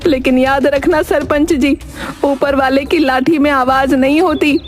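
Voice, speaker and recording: Wronged village mother or daughter voiced by a woman, high, pleading, righteous, cartoon tale with faint music. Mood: indignant. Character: poor wronged village widow and her daughter. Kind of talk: animated moral-story dialogue.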